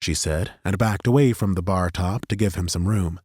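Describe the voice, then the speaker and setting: A man narrating a fantasy audiobook in a steady, measured storytelling manner on a studio microphone. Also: deep voice, breathy edge, no echo.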